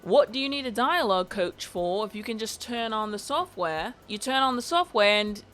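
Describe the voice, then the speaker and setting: A woman giving casual, sarcastic commentary on a vlog, animated and quick to laugh. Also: mid-range voice. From family from Barbados. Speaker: YouTube commentator.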